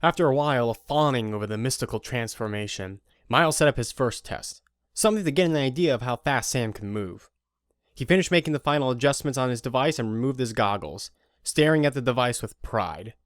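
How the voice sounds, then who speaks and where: A man's voice: mid-range voice, expressive, a little fast, dry recording, clean studio sound. A man reading scripted story narration as the narrator of an audio drama.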